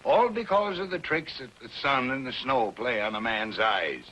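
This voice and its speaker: An actor playing a crusty, excitable old Yukon storekeeper who talks in folksy frontier slang, in a 1950s television western. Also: male voice, mid-range voice, moderate pace. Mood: scornful. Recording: noisy vintage 1950s television soundtrack.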